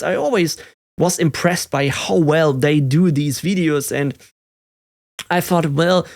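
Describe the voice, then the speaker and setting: A man in his thirties speaking English with a German accent, his voice sitting a little higher than most men's. He talks candidly and thoughtfully, pausing on "uh" and "um" and restarting his sentences as he searches for words. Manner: reflective, with fillers and restarts. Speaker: tech YouTuber. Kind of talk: candid personal vlog.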